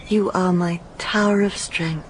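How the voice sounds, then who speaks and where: A woman's voice: fairly low pitch, steady tone, a little slow, slight background noise, lightly acted. Woman playing a frail, plaintive convalescent wife. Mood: frail, affectionate. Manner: weak, hesitant. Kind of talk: radio drama acting.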